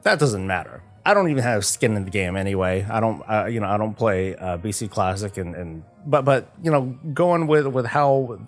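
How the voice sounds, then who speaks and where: A man chatting casually on a gaming livestream, halting and often stumbling over repeated words. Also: on the low side, lively intonation, soft background music. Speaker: streamer, host of a morning show. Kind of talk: casual livestream chat about games.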